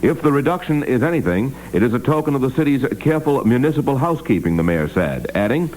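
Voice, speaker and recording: A male news reader delivers a scripted 1960s radio bulletin in a formal, measured way. His voice sits at a middle pitch, with a faint hum from the old AM recording underneath. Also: big pitch swings, darkish tone, poor audio.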